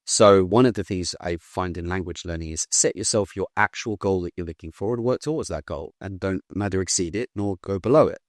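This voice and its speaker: A British man in his thirties talking in a casual interview, blunt and animated, swearing now and then. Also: low voice, a little fast, hardly any pauses, dry recording, clean studio sound. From Britain. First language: English. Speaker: guest.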